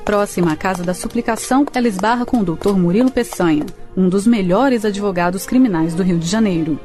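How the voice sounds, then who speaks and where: A woman's voice: medium pitch, brisk, dark tone. A woman reading scripted storytelling narration for a radio drama. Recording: faint music behind.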